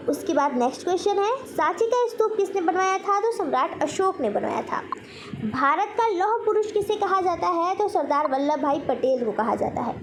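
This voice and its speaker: Very high-pitched Indian woman teaching. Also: a little hiss or hum. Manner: instructional, asks each question then answers it, repeats key points. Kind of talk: general knowledge quiz lesson for exam students.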